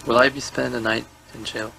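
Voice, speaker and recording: A man in his twenties from Guyana, a suspect answering questions in a hesitant, halting way and stumbling over repeated words. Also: mid-range voice, little pitch movement, gravelly, rough recording. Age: 20s. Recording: interview room audio with music overlaid.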